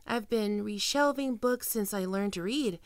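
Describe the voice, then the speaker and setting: A young woman playing a high-energy, teasing fox-woman librarian in an expressive roleplay performance addressed to the listener. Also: a little slow, dry recording, clean studio sound, close to an everyday voice. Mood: earnest.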